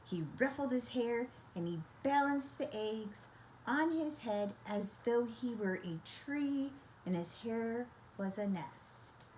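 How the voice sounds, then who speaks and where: An older woman storyteller reading a children's bedtime story in a gentle, expressive, grandmotherly manner. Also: fairly high pitch, slow pace, slight background noise, rough recording.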